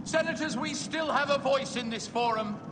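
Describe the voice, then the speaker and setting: An actor playing a principled Roman senator who opposes tyranny with earnest oratory in a dramatic game cutscene. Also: male voice, very high-pitched, normal speed. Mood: earnest defiance. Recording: noisy, echoing long hall.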